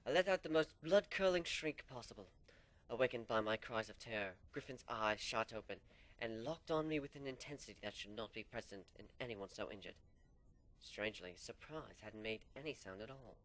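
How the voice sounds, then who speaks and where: A man narrating a fan story in the first person as an amateur dramatic reading, animated and sinking low for suspense. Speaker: story reader.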